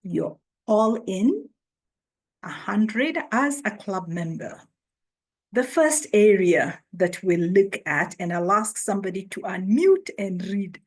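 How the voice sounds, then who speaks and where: A woman hosting an online orientation, speaking slowly and deliberately as she welcomes new members. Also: mid-range voice, expressive. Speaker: orientation host. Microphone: video call.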